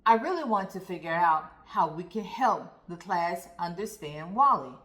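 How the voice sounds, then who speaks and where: A woman's voice: on the low side, sing-song, normal speed, fairly bright tone. Eager, earnest storybook schoolkid as a female narrator plays it, caring and inquisitive, with swooping intonation. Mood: curious, eager. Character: curious, caring schoolchildren eager to understand a friend. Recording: roomy, echoey sound.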